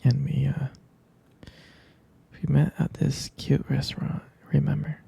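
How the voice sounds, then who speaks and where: A man playing a tender, jealous, devoted boyfriend in an intimate ASMR roleplay, speaking haltingly in a breathy, husky whisper. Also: mid-range voice, monotone, warm tone, heavily acted. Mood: tender, nostalgic. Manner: hesitant, intimate, halting delivery.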